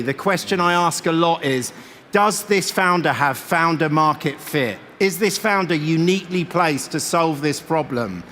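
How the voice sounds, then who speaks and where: Higher-pitched male moderator in an echoey hall. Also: fairly bright tone. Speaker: venture investor moderating a fireside chat. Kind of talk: conversational interviewing on stage. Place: event stage in a large venue. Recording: echoey large hall, faint noise.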